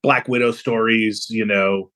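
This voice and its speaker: A man's voice: fairly low pitch, steady tone, measured pace, pushed and bright. An American man from Los Angeles talking casually as a guest in an interview. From Los Angeles, USA. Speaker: podcast guest, composer.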